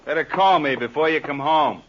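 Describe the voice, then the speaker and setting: An American actor playing a surly, sarcastic hard-drinking young man in a 1950s radio crime drama, with curt, snappish, husky delivery. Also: a little high, a little fast, background noise, lightly acted. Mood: bullying. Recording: dull, muffled old radio recording.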